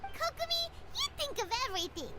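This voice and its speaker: A woman voicing a tiny, eager female companion in a video game, talking in excited exclamations. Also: extremely high pitch, a little fast, very noisy. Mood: cheerful.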